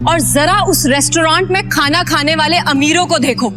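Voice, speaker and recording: A woman's voice: high-pitched, normal speed, over music, lightly acted. A woman playing a poor, timid young girlfriend in an audio drama, anxious and pleading. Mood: worried protest. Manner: pleading, worried. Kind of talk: Hindi audio drama acting.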